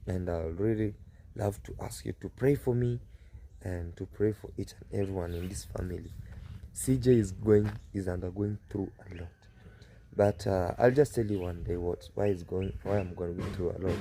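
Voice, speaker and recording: A young man vlogging at his family home, soft-spoken and mournful, with a hoarse voice and many fillers. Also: sits low, steady tone, normal speed, a little hiss or hum. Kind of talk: casual vlog to camera.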